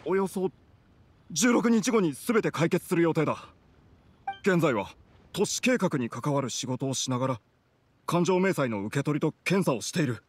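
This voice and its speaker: Stiff, halting, pedantic man. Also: on the high side. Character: awkward, meticulous, literal-minded male civil servant. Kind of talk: Japanese video game voice acting. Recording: music behind the voice.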